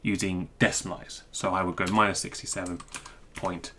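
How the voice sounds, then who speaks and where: A man giving an informal technical screencast walkthrough, thinking aloud in a halting, self-interrupting way. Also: deep voice, little pitch movement, background noise. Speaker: presenter explaining technical material.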